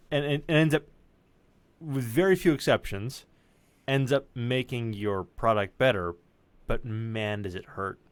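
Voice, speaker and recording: A man who writes and voices audio drama, chatting casually in an animated, joking, sweary way on a video call. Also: mid-range voice, measured pace, long pauses, smooth, no room sound. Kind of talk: casual chat.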